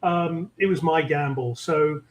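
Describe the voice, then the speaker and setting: A middle-aged man with a London English accent, talking through his career in an interview, measured and full of ums. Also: fairly high pitch, reverberant, low-quality recording. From England. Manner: measured, many ums and uhs. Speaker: guest, tech executive. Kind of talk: interview conversation.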